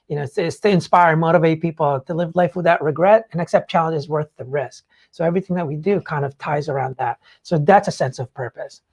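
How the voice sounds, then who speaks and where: A man's voice: fairly high pitch, a little fast, hardly any pauses, darkish tone, breathy edge. A man speaks in a loose, conversational way, like a podcast host giving motivational advice. His explanations ramble informally, sprinkled with filler phrases as he works toward each point. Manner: informal, rambling explanations peppered with "like" and "you know". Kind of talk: casual motivational talk.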